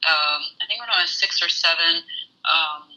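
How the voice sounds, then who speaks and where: A woman in her thirties from Saudi Arabia, an engineer talking through her story in a casual interview, hesitant with many pauses. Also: lowish voice, bright, forceful tone, low-quality recording. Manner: thoughtful, hesitant with many ums. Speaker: guest, engineer.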